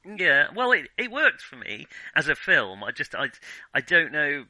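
Male film podcast host, sharp bright tone, close dry sound, hesitant, thinking-aloud conversational delivery. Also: a little high, very animated, a little slow, no echo, low-quality recording. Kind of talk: casual film discussion.

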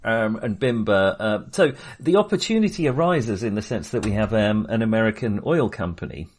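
A man co-hosting a film discussion, talking casually and haltingly with a wry manner. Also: fairly low pitch, lively intonation. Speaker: film podcast co-host.

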